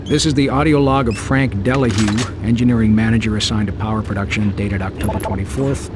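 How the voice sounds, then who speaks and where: An actor playing a strained, dutiful engineering manager dictating formal audio logs in a video game. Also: male voice, fairly low pitch, noisy background, lightly acted. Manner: formal, businesslike log dictation.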